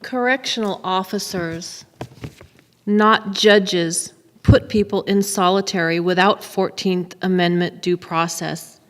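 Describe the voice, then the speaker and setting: Woman, middle-aged, slow scripted reading, long hall reverb. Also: medium pitch. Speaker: reader in a readers theater. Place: large temple sanctuary, at a lectern. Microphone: podium microphone on a boom stand.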